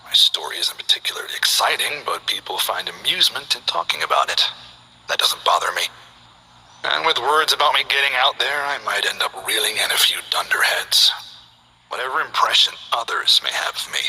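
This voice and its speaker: A man voicing a calm, polite male gym owner who speaks softly and half-whispers in a video game. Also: mid-range voice, slightly rough, heavily acted, rough recording. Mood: gentle. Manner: soft-spoken, measured, half-whispered.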